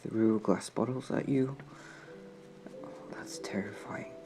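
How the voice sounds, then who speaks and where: A man acting a caring, protective male comrade in a comfort roleplay, speaking softly and soothingly with unhurried pauses. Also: fairly low pitch, steady tone, in a whisper, over music. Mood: concerned, relieved. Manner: soft-spoken, hushed, soothing, with unhurried pauses. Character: caring male anarchist comrade, protective, gently scolding, tending a friend.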